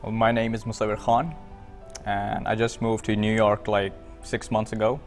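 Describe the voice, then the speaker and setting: A man's voice: fairly low pitch, flat delivery, moderate pace, faint music behind. A young man from Pakistan, an interview guest, speaking hesitantly with "like" fillers and repeated words. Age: young adult. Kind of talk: casual interview talk.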